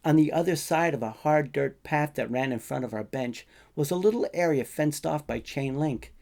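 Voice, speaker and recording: A man reading his own short fiction as a first-person narrator, in a conversational, colloquial way with expressive emphasis. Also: on the high side, normal speed, gravelly.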